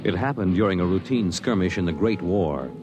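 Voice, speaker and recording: American male announcer, lowish voice, dramatic formal narration, clear music behind, vintage radio. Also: lively intonation, fairly quick. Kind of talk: 1950s radio scripted narration.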